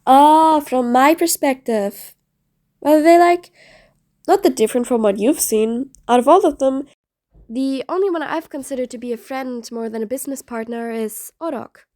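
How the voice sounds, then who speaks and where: Female supervillain for audio roleplay, played by a woman: high voice, sarcastic, mean yet patient, grudgingly soft. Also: normal speed, lightly acted. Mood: dry, reflective. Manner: sarcastic, dry, exasperated. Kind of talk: audio roleplay acting addressed to the listener.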